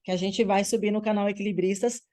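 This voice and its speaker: A Brazilian woman hosts an online meeting over a video call. Her voice sits at an average pitch. She speaks in an upbeat, encouraging way and keeps checking in with her listeners. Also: steady tone, fast talker. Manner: upbeat, warm-sounding through her words, drops in frequent "tá bom?" check-ins. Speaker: host of an online women's group meeting. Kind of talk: lively welcoming online meeting talk.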